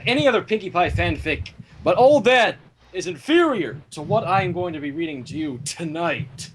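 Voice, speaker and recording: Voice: mid-range voice, lively intonation, normal speed, a little hiss or hum. A theatrical, giggly host chatting with friends and reading fan fiction live, with a flair for dramatic announcements. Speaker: fanfiction reader and co-host. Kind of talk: casual group chat and live fanfic reading.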